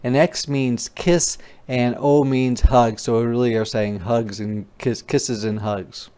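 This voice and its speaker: Very slow, smooth-voiced phonics teacher. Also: mid-range voice. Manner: exaggerated, deliberate enunciation of letter sounds and words; playful, expressive asides. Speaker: teacher reading a children's book aloud. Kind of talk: interactive read-aloud phonics lesson for young children.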